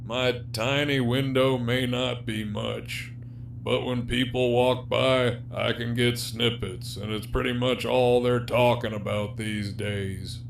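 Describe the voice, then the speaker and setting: An actor playing a gruff, cocky, worn-down prisoner in an audio drama, with a drawling delivery. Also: male voice, medium pitch, fairly even intonation, takes it slowly. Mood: subdued. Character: gruff imprisoned man, cocky and sardonic, worn down. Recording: clear background noise with hum.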